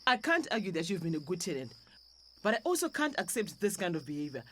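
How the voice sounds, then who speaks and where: A woman's voice: on the low side, very animated, fast, fairly bright tone, a little hiss or hum. A woman with a Zimbabwean accent playing a hard-nosed, unsympathetic landlady in a radio drama. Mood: cold, firm.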